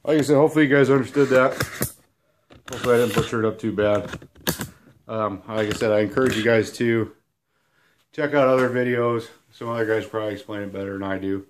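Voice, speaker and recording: A man in his thirties, hoarse and sniffling from a cold, giving a rambling, self-deprecating whiteboard lesson at home. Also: medium pitch, fairly even intonation, fast, long pauses, a little hiss or hum. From Illinois. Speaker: outdoors channel host. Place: small room at home, indoors.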